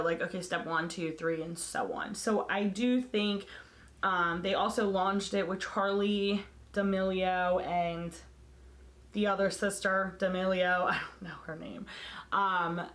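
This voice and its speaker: A woman talks straight to camera in a relaxed vlog chat, her voice hoarse and fraying as if she is losing it. She is candid and opinionated, blunt about what she won't spend money on, at a pitch in the middle for a woman. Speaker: beauty vlogger.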